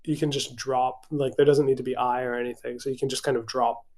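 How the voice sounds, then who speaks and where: Soft, dark-voiced translator man from Hawaii. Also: mid-range voice, level tone, fast talker. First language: English. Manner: hesitant, many fillers and restarts, frequent laughs. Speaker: literary translator. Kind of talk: casual virtual event conversation. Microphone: video call.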